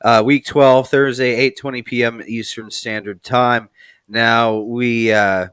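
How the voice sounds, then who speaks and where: A man's voice: mid-range voice, fairly even intonation, slow, fairly bright tone, clear, resonant tone, no echo. A man from New England hosting a casual football discussion, rambling and rephrasing as he goes. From New England, United States. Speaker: sports show host.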